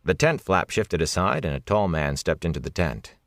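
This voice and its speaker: A man's voice: deep voice, sing-song, normal speed, fairly bright tone, no echo. A man reading a fantasy novel as a scripted audiobook narration, close on the microphone.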